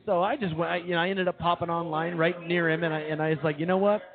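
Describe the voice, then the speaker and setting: A man with a fairly high voice trades casual comic banter as a radio co-host. He talks in exaggerated, boastful bursts and often repeats himself. The audio is thin and phone-like, with crowd chatter behind him. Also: steady tone, fast. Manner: boastful, hyperbolic, repeats phrases. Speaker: co-host of a comedy radio show. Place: live show at a brewing venue.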